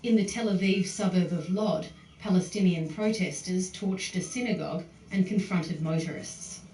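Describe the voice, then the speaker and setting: A woman news reporter narrating a scripted report in a steady, clipped tone. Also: fairly low pitch, moderate pace. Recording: distant replayed broadcast, roomy, faint noise.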